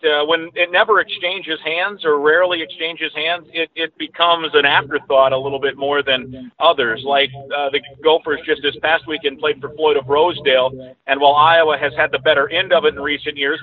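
Higher-voiced man on a phone-like line. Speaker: radio play-by-play announcer as interview guest. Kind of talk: conversational sports analysis. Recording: thin, narrowband phone-like line.

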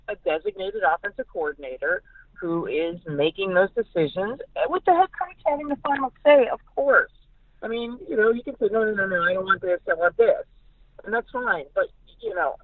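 A woman's voice: mid-range voice, no echo. American woman on a tinny phone-like line. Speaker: sports journalist guest. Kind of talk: conversational sports analysis. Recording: phone-like narrow-band radio sound.

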